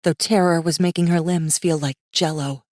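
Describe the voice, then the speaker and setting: A woman narrating a fiction audiobook in an expressive, scripted storytelling style. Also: fairly low pitch, moderate pace, no echo, clean studio sound.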